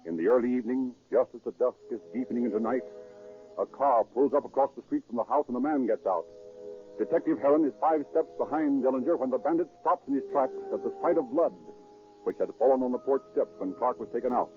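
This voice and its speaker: A man's voice: mid-range voice, quick pace, phone-quality sound. An American man narrating a 1930s radio crime drama in a formal, dramatic scripted style. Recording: thin 1930s radio recording with music.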